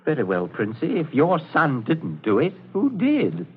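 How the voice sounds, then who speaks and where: Sardonic British captain, lively intonation. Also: male voice, on the high side, slightly rough, full character voice. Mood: mock-polite needling. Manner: sardonic, unhurried, mock-polite. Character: wry, taunting English captain, cool and shrewd. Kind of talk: 1940s radio acting. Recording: dull, hissy 1940s radio recording.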